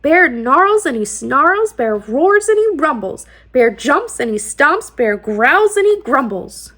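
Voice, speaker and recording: A woman hosting a children's storytime, reading aloud in an expressive, sing-song way with deliberate rhythmic phrasing. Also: sits high. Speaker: children's storytime host and read-aloud reader. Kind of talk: expressive picture-book read-aloud.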